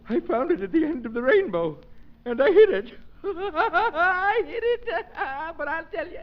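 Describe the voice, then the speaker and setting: A very high, wildly swooping voice on a muffled, noisy old radio recording. a broken professor who babbles and pleads like one whose mind has snapped, in vintage radio drama style. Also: male voice, very animated, a little slow, full character voice. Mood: crazed glee. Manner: pleading, manic, giggling babble. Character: tortured, broken professor babbling madly about hidden gold. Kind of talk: 1930s radio acting.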